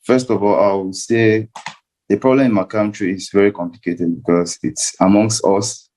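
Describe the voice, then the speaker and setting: Male panelist, fairly low voice, earnest explanation with repeated phrases. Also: steady tone, normal speed, darkish tone, low-quality recording. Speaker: panel speaker.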